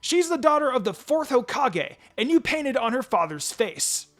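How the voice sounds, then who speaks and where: A man voicing a spirited, cheeky teenage girl ninja in a storybook reading, bright and teasing. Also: extremely high pitch, sing-song, moderate pace, soft background music, voice pushed up, exaggerated voice. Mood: scolding.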